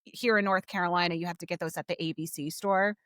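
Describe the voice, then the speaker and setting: A woman's voice: on the low side, fairly even intonation, fast, fairly bright tone, dry recording. American woman podcast cohost, chatty, tells little stories, casual, very dry sound. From New Jersey, United States. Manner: chatty, anecdotal storytelling. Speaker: podcast co-host. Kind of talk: casual chat.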